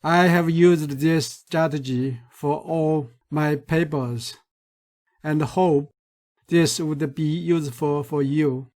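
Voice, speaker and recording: Slow-speaking man with a Chinese accent. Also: fairly high pitch, steady tone, darkish tone. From China. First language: Mandarin Chinese. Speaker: researcher. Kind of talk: recorded lecture.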